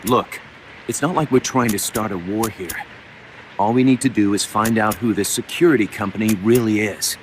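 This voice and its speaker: An actor playing an eager, pragmatic younger mercenary deputy in a game cutscene, earnest and persuasive. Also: male voice, lowish voice, normal speed, noisy background, close to an everyday voice. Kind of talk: video game cutscene voice acting.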